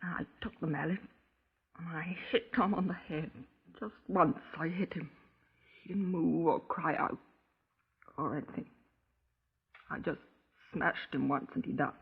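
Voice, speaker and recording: An actress playing a distraught, self-pitying middle-aged wife making a halting, insistent confession in a 1950s radio crime drama. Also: female voice, deep voice, creaky, husky, exaggerated voice. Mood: weary, bitter. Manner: halting, brooding, insistent, with rhetorical tag questions. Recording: muffled, lo-fi 1950s radio recording.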